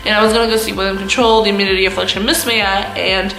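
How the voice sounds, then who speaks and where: A woman in her twenties telling a casual story to camera as a vlogger, with a loud voice and a giggly, self-mocking manner. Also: medium pitch, flat delivery, quick pace, echoey room, faint music behind, poor audio. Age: 20s. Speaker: vlogger, host of own channel.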